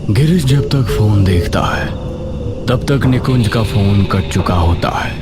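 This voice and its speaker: Slow, suspenseful male horror narrator. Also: on the low side, expressive. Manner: slow, dramatic, suspenseful delivery. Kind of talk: scripted horror storytelling. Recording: music bed under voice.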